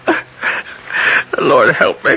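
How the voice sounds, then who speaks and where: An actor playing a distraught, doubting young lover with a faltering, melodramatic delivery in an old-time radio drama. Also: male voice, drawn-out words. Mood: shaken. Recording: muffled noisy old radio recording.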